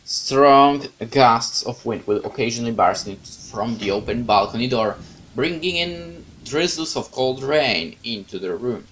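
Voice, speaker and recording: A man's voice: mid-range voice, animated, a little slow, a bit bright, slight background noise, low-quality recording. Man reading a horror game aloud. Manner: dramatic narration with casual asides. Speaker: let's play host. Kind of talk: reading a visual novel aloud with commentary.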